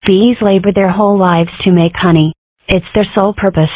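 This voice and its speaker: A woman's voice: medium pitch, steady tone, a little fast, no echo, close to an everyday voice. Woman voicing a fox girl, flippant, scheming, tinny dry audio. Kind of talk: fan comic dub.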